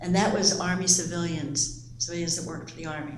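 A woman's voice: lowish voice, steady tone, brisk, crowd noise. Older woman interviewee from the Canal Zone, reflective, in an echoey room. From Panama Canal Zone. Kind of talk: oral history recollection. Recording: echoey room with background noise.

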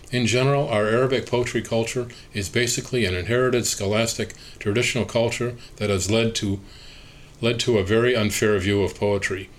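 A man reading an oral history interview transcript aloud at a measured, even pace, speaker labels included. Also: lowish voice, a little hiss or hum, poor audio.

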